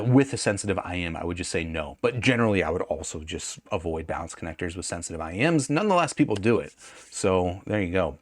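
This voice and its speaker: A man's voice: sits low, dry recording, studio quality. A man hosting an audio gear review in a casual, unscripted, conversational way, often hedging and correcting himself mid-sentence.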